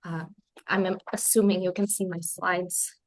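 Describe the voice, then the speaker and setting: A woman's voice: fairly low pitch, little pitch movement, measured pace. A woman in her twenties from Spain, born in Colombia, giving an informal webinar presentation as a PhD student. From born in Colombia, grew up in Spain. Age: 20s. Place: remote webinar.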